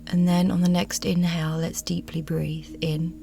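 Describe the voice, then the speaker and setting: A woman leading a guided yoga nidra meditation in a soothing, hushed voice that often softens toward a whisper. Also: fairly low pitch, flat delivery, normal speed, creaky. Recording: music bed with singing bowl tones. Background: meditation music with singing bowls.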